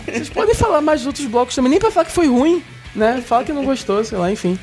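A man from Brazil co-hosts a casual podcast, and his talk comes fast and piles up in long rambling stretches. He stammers and restarts often as he builds a point. Faint music plays behind him. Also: extremely high pitch, animated, a little mellow. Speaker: podcast co-host.

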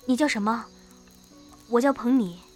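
A woman's voice: on the high side, normal speed, soft background music, lightly acted. An actress playing a friendly, naive young village woman in a costume audio drama, with an apologetic, inquisitive manner. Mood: curious.

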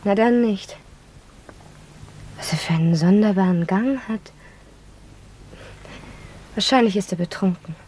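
An actress playing a courteous, puzzled passer-by who wonders aloud in a German radio drama. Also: female voice, medium pitch, a little slow, pauses often, dark tone, breathy edge. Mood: puzzled, polite. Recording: distant from the mic.

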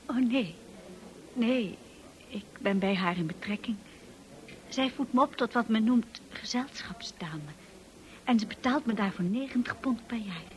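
An actress playing a shy, naive young paid companion in a radio play, hesitant, timid and polite. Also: female voice, a little high, lively intonation, measured pace, long pauses. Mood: shy, earnest. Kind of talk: radio drama acting.

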